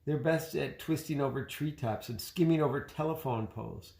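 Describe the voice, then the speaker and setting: A man reading aloud as jolly old Santa Claus, speaking in measured, deliberate pronouncements. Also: a little high, a little mellow, natural voice. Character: jolly old Santa Claus choosing his reindeer team. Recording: roomy home recording.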